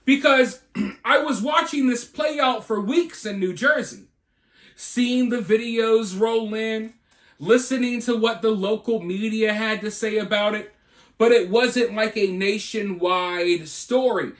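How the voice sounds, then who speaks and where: High-voiced man giving dramatic news commentary. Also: unhurried, a bit bright, echoey room. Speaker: host of a news commentary video channel.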